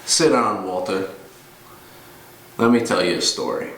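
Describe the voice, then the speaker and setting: A man performing a dramatic monologue as a gruff, weary older ex-cop, grimly menacing, telling his tale deliberately with pauses. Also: deep voice, sing-song, fairly bright tone, voice pushed down, exaggerated voice. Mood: grim reminiscing. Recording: roomy, distant mic with noise.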